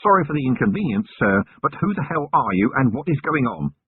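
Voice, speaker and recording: A man voicing a weary, sardonic male police commander who speaks tersely in a raspy voice. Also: medium pitch, big pitch swings, a little fast, a little hiss or hum, pitched above the performer's own voice, close to an everyday voice. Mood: dry. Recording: muffled lo-fi narrow-band recording.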